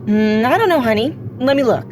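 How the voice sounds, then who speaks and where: A woman's voice: on the high side, lively intonation, fairly quick, darkish tone, faint music behind, natural voice. A woman playing a teasing, caring wife and mother in an audio drama.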